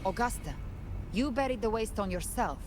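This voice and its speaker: A woman's voice: on the high side, animated, moderate pace, background noise, natural voice. A woman voice actor playing a bold, defiant warrior in a dramatic video game scene. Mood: grave, resolute.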